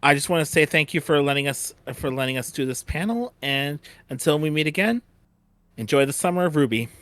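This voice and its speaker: A man hosting a casual, unscripted fan panel, speaking haltingly and often restarting his phrases. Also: on the high side, expressive, fairly bright tone, no echo. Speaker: panel host.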